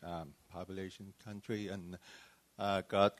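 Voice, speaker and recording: Low-voiced, halting man from Malaysia. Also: level tone, no echo. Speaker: church planting pastor. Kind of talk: personal testimony at a panel discussion.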